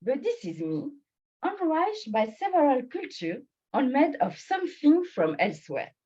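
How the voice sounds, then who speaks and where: Slow-speaking woman with a French accent. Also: sits high, sing-song, mellow, dull audio. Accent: French and Swiss French. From born in Bangladesh, raised in France. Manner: slow, deliberate delivery with pauses. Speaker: club speaker. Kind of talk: prepared personal speech.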